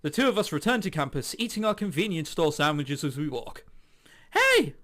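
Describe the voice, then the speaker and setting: A man's voice: on the high side, lively intonation, brisk. A man doing a playful let's play, reading a visual novel aloud with dramatized lines and jokey, sweary asides. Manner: acts out dialogue in exaggerated voices.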